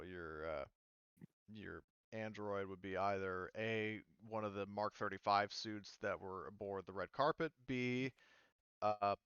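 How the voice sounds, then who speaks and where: A man's voice: fairly low pitch, a bit bright, smooth, no echo, dull audio. A man running a tabletop game as game master, explaining rules in a hesitant way with many ums and restarts.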